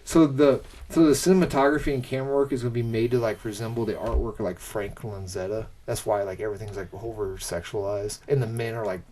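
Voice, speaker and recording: A man co-hosting a podcast in casual chat, often halting as he gropes for words and swearing now and then. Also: lowish voice, fairly even intonation, dark tone, rough recording. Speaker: podcast co-host. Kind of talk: casual chat, pitching film ideas.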